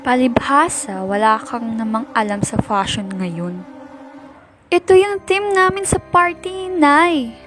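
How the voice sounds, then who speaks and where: A woman's voice: high voice, slow. A woman acting a rebellious, resentful teenage daughter in an amateur Filipino radio drama. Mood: resentful, argumentative. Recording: music bed behind dialogue.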